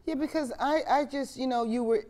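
A woman with a deep voice hosting a studio talk show, blunt and outspoken in her questions. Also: brisk, dark tone. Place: small TV studio set.

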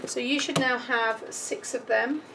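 A woman's voice: sits high, steady tone, measured pace, noisy background. A British woman giving a casual, chatty step-by-step craft tutorial, thinking aloud as she goes, her voice starting to give out. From United Kingdom. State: starting to lose voice. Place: indoors at a craft table.

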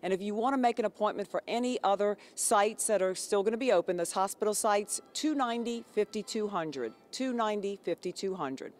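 A woman's voice: medium pitch, lively intonation, fairly quick, hardly any pauses, darkish tone. A woman TV news reporter giving a live report from an indoor convention hall, speaking into a handheld microphone with polished broadcast delivery. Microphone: handheld station mic.

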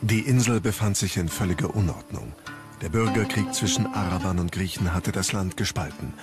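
Low-voiced male documentary narrator. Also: normal speed. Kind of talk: scripted narration, storytelling. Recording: music bed under the voice. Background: background music.

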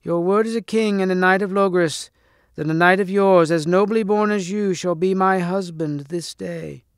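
A loathly old storybook hag, acted out by a man, speaks in a shrill, cracked voice pitched well up. She is imperious and eager to bargain, with archaic turns of phrase. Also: normal speed, clear, resonant tone, dry recording, clean studio sound. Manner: archaic, commanding speech.

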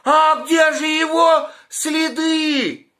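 A man voicing a small, curious boy hedgehog in a children's story, with a high, eager voice. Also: fairly even intonation, extremely slow, pushed and bright, exaggerated voice. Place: small room at home, indoors.

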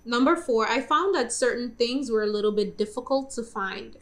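A young woman with a slightly high voice sits in a bedroom and talks straight to the camera. She hands out travel tips in a chatty, direct way, calling her viewers guys. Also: normal speed. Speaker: travel vlogger. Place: indoor bedroom.

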